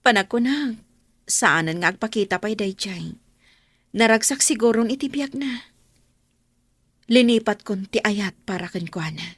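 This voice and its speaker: A woman's voice: mid-range voice, lively intonation, unhurried, long pauses, breathy edge, dry recording. A woman playing a long-suffering abandoned wife and mother in a radio drama, earnest and sorrowful. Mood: resigned. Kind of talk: Ilocano radio drama acting.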